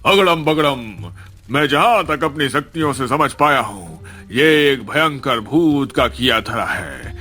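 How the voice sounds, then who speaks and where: A man's voice: medium pitch, animated, slow, sharp tone, slightly rough, pitched above the performer's own voice, full character voice. A man voicing a commanding tantric holy man in a horror cartoon story, stern and declamatory. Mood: solemn. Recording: music under the voice.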